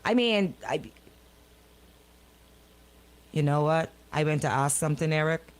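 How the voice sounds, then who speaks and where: A woman's voice: sits low, a little fast. A woman hosting a casual live talk show, questioning people incredulously and repeating her words for emphasis. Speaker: radio show host.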